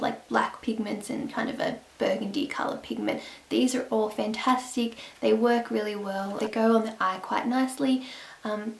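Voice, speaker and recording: A young Australian woman, croaky from the flu, chatting casually and eagerly through the products she bought in a home beauty vlog. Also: mid-range voice, reverberant. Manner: chatty, enthusiastic product rundown. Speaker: beauty vlogger.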